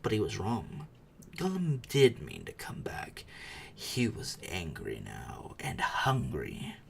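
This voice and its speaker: A man narrating a storybook dramatically, moving theatrically between hushed and emphatic delivery. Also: sits low, huge pitch swings, slow pace, creaky, a little hiss or hum. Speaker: audiobook narrator.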